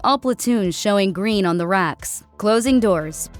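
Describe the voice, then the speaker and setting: A woman acts out a female military ship announcer in a sci-fi audio story. The calls are formal, clipped and procedural, in a mid-range voice over soft music. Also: medium pitch, animated, unhurried, lightly acted. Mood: procedural, composed.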